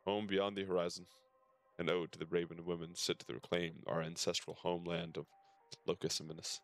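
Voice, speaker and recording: A man's voice: deep voice, flat delivery, fairly quick. A man streaming a game, reading its dialogue aloud with casual asides and the odd stumble over the words. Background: game music.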